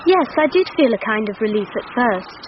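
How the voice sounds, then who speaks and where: A woman's voice: fairly high pitch, very animated, a little fast. An actress playing a naive, earnest, childlike teenage girl in a radio drama. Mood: guilty, subdued. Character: anxious teenage girl, childlike, guilt-ridden stepdaughter. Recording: dull lo-fi radio sound, noisy.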